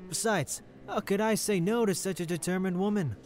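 A man's voice: sits high, brisk, darkish tone, soft background music, lightly acted. A man playing an affable, ambitious district attorney in an audio drama, polished and courteous. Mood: courteous, eager.

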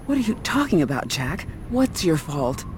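A woman's voice: deep voice, big pitch swings, moderate pace, noisy background. An actress playing an anxious, serious woman in a video game, worried and insistent. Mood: puzzled. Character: anxious, serious woman, the investigator's concerned ally. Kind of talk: video game cutscene acting.

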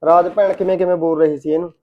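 A man's voice: high-pitched, fairly even intonation, fast talker, narrow, tinny audio, close to an everyday voice. A man playing an indignant, protective husband in a family drama, firm and insistent. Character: indignant, protective husband, visiting brother-in-law. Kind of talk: Punjabi domestic drama dialogue.

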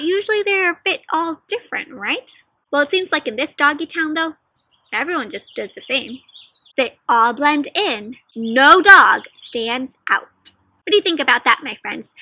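A young adult woman hosting an interactive picture book read-aloud, questioning young children directly in an animated, teacherly way. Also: sits high, no room sound. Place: outdoors in a leafy garden.

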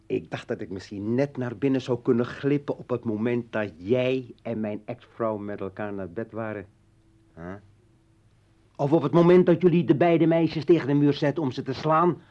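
An actor playing a bitter, menacing revolutionary ex-husband in a radio play, with a taunting, rhetorical manner. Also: male voice, medium pitch, normal speed, darkish tone, lightly acted. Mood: menacing, loud. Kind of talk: Dutch radio play acting. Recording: dull, echoey old radio recording, distant.

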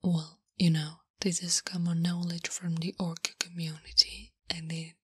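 A woman voicing a big, strong but gentle and naive orc warrior woman in an ASMR roleplay, halting and soft-spoken, half-whispering in simple broken speech. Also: on the low side, level tone, husky, dry recording, full character voice. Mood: earnest, bashful.

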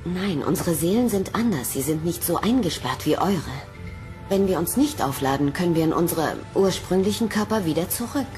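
A woman's voice: fairly low pitch, animated, moderate pace, mellow, lightly acted. A woman acting an urgent, compassionate alien in human form in a sci-fi audio drama. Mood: earnest, pressing. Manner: earnest, urgent. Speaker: actor. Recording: music under the voice.

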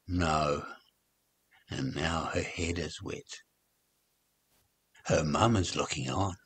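Older New man, slow husky storyteller. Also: rumbling low. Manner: expressive, deliberate phrasing for young children. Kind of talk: children's read-along story narration.

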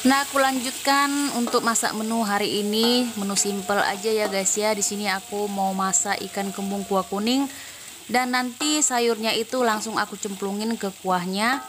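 A woman's voice: medium pitch, steady tone, normal speed, background noise. A woman from Medan, Indonesia, a homemaker giving a casual, chatty vlog voice-over. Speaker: homemaker vlogger.

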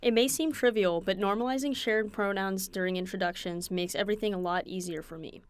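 A speaker calmly and earnestly describing their own experience. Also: medium pitch, brisk, smooth. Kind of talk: scripted testimony.

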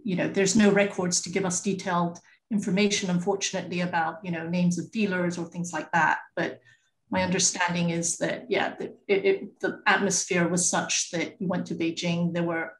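A woman's voice: lowish voice, little pitch movement, normal speed, roomy sound, low-quality recording. A woman museum curator explaining things thoughtfully over a video call. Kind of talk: panel discussion answer.

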